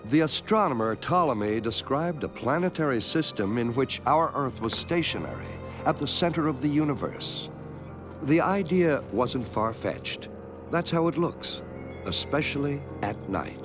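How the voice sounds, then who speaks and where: A man giving a measured, scripted narration for an educational film, explaining things clearly step by step. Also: mid-range voice, big pitch swings, music in the background. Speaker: documentary narrator.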